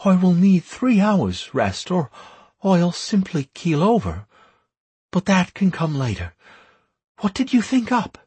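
Man voicing a high-pitched, questioning young-sounding priestess. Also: very animated, slow, a little mellow, husky, dry recording, heavily acted. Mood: weary. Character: former high priestess, adult woman, bewildered, questioning.

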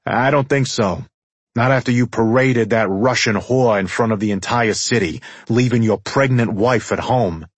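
A man's voice: medium pitch, moderate pace, slightly rough, no echo, lightly acted. A man voicing an older mobster father-in-law in an audiobook, formal, mocking and defiant. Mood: defiant, taunting.

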